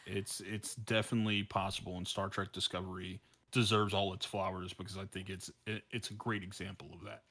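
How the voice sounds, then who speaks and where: A man's voice: sits low, level tone, a little fast, few pauses, bright, forceful tone. A man co-hosting a podcast in a casual chat, talking in a hesitant, rambling way with repeated words. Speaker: podcast co-host.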